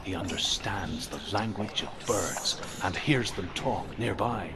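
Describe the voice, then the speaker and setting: An actor playing a solemn storyteller in a video game, telling Norse legends in a hushed, breathy, measured way. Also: male voice, low voice, heavy background noise. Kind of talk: hushed myth narration.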